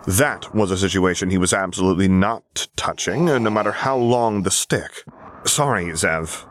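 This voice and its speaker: A man's voice: sits low, normal speed, no room sound. A man reading scripted fiction as an audiobook narrator. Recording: audible background hiss, clicks.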